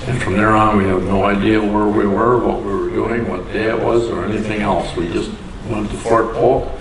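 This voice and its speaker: A man's voice: fairly low pitch, steady tone, moderate pace, over a noisy crowd. An older man from Door County, Wisconsin, telling plainspoken stories as an interview guest in a community hall. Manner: plainspoken, chuckles at his own stories. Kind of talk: unhurried storytelling. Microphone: far from the mic. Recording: echoey hall from far off, noisy.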